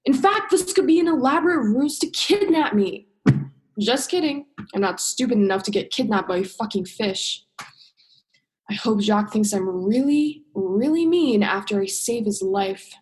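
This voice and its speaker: A woman's voice: a little high, expressive, moderate pace, lightly acted, rough recording. A woman drama student acting a bitter, sarcastic young female scientist in a radio play, sardonic and emphatic, stretching stressed words. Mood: exasperated, sardonic. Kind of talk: radio play monologue and dialogue.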